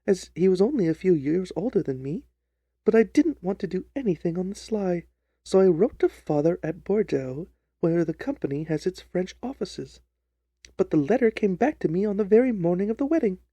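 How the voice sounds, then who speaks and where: Man putting on a soft, mellow, earnest young woman. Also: high voice, lively intonation, moderate pace, dry recording. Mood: earnest, recounting. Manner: earnest, wordy, pleading. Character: earnest, trusting, distressed young jilted bride. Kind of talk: dramatised audiobook reading.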